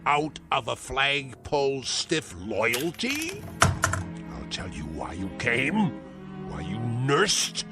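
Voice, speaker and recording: Casting: sneering bedridden old uncle, swooping delivery, score underneath. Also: male voice, mid-range voice, wildly swooping pitch, slow, heavily acted. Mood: scornful. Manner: venomous, theatrical insults. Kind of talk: vintage radio-style drama acting. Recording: music score behind.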